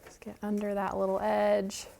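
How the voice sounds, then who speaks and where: A woman leads a relaxed sewing lesson at a mid-range pitch. She chats through each step as she works, and she sounds slightly stuffy from a cold. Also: normal speed, vocal fry. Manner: chatty, walks through steps while working. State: has a cold, sniffling. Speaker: quilting show host. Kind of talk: friendly sewing tutorial. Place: sewing studio indoors.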